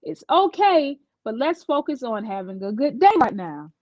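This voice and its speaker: Woman voicing a bright-eyed, high-pitched protective girl best friend. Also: very animated, moderate pace, no room sound, dull audio. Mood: helpful. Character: caring, confident schoolgirl best friend, playful and protective.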